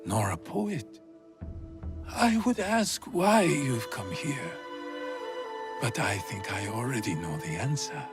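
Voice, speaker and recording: Grave, slow-speaking feudal Japanese lord. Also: male voice, medium pitch, sing-song, music in the background. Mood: probing, guarded. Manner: measured, formal, deliberate. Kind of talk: video game cutscene acting.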